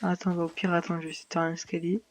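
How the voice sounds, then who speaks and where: A man chatting casually with another player during an online game, relaxed and quick to laugh. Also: fairly high pitch, noisy background. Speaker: fellow player. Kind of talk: casual gaming chat.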